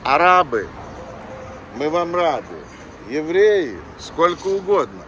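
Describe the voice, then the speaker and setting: A man in his fifties, from Russia, talking as a local tour guide in a thoughtful, casual way with frequent "uh" pauses. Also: on the high side, big pitch swings, fairly bright tone. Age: 50s. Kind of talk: casual guiding talk on a walk. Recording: street noise behind.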